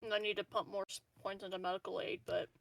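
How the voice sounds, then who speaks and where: A woman's voice: medium pitch, flat delivery, fast talker, vocal fry, crowd noise. A woman chatting casually as a player in a tabletop game group.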